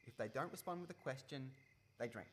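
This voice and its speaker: An actor playing an easygoing young man in a radio play, talking casually with halting explanations, recorded live. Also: mid-range voice, expressive, a bit bright, close to an everyday voice. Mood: patient, explaining. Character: easygoing young man, former farm boy, patient game explainer. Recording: live recording with clear background noise.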